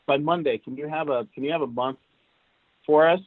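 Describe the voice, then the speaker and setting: A man from Cuba, a guest in an interview, telling how his career went in an animated, casual way. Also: fairly high pitch, fairly quick, no room sound. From Cuban. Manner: animated, recounts events at length. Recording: tinny phone-like line, dry.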